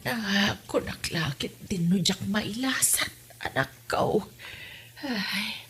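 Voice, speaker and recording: A woman's voice: medium pitch, lively intonation, slow, a bit bright, breathy edge, full character voice. A woman playing a sickly older mother, breathless, plaintive and groaning in an audio drama. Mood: weak, plaintive.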